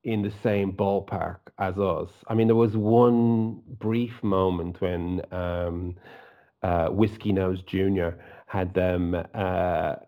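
A man's voice: deep voice, slow pace, dull audio. A man with a Dublin Irish accent, co-hosting a casual football chat and laughing often. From Ireland. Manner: laughs often, animated and chatty. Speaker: podcast co-host.

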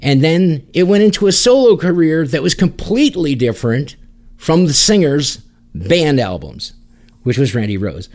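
A man's voice: on the high side, very animated, normal speed, a little mellow. A man hosting a podcast, talking casually about music. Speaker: podcast host.

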